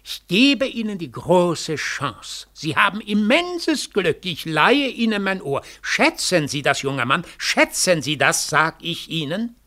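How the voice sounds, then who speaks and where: A man's voice: sits high, very animated, measured pace, few pauses, sharp tone, full character voice. A man playing a self-important, patronizing cabaret director in a 1940s German radio drama, theatrical and condescending. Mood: grudging, pompous.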